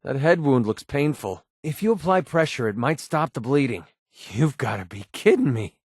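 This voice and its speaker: An actor playing a cynical, wisecracking young mercenary in a video game, with a sardonic, casual, streetwise delivery. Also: male voice, medium pitch, very animated, normal speed, slightly rough, no room sound, studio quality. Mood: wry. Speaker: video game companion character. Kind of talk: video game voice acting, short scripted barks.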